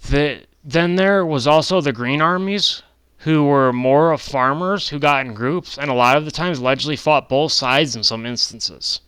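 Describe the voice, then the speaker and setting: A man's voice: on the high side, moderate pace, a bit bright, clear, resonant tone, no room sound. A man in his twenties giving a rambling, casual lecture over slides on his own channel, often breaking off into digressions and correcting himself. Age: 20s. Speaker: channel host.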